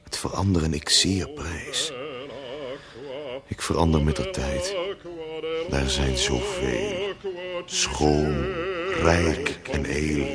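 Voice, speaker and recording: A man in a 1970s radio play playing a fickle young poet, reciting verse slowly and then chanting a line over and over. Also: expressive, heavily acted. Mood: brooding. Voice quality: deep, slow, repetitive chanting. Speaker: reciter of a love poem. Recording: echoey studio with loud music.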